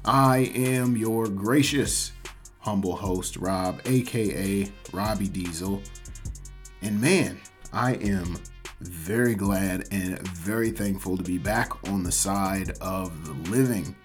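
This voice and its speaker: Chill guy in his 30s hosting a podcast, low-ish voice a bit rough from being sick, easygoing and jokey. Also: slow pace, faint music behind. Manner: laid-back, chatty, says "man" a lot, self-mocking. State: voice still a little off after illness. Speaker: podcast host. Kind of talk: casual solo podcast talk.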